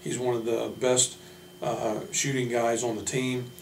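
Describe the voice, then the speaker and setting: Even-toned male coach in an echoey room. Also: medium pitch, measured pace, creaky. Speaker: college basketball coach. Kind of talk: informal spoken player introductions. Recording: roomy, distant mic, faint hum.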